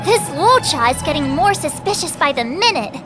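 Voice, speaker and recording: A woman voicing an excitable, theatrical young girl detective in a video game, playful and dramatic. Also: high-pitched, normal speed, over music. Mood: suspicious, indignant. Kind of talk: animated video game voice acting.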